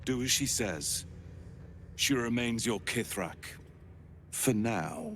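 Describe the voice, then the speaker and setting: An actor playing a cold, high-ranking alien inquisitor in a fantasy video game, terse, commanding and ominous. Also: male voice, lively intonation, takes it slowly, fairly bright tone, slight background noise. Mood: cold, impatient.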